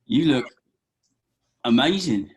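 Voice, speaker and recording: A man reading the part of an awkward, eager young man in a comedy script table read, with a hesitant, earnest delivery and a husky voice. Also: mid-range voice, animated, slow pace. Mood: nervous, admiring. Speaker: actor in a script read-through. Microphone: video call.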